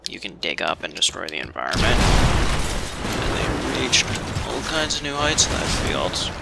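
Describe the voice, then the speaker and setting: A man's voice: fairly low pitch, sing-song, moderate pace, gravelly, very noisy. A man casually commentating a video game as he plays, thinking aloud and swearing mildly. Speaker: let's player. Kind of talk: casual blind let's play commentary.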